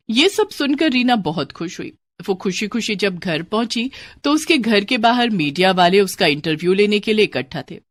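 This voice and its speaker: A woman narrating scripted moral stories in an expressive storytelling style. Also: on the low side, no room sound.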